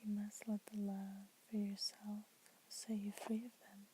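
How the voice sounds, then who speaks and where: Woman whispering slowly, flat and soft. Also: mid-range voice, slight background noise, poor audio. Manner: soft unvoiced whisper throughout; casual, chatty intro with fillers. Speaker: ASMR creator. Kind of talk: whispered ASMR poetry reading.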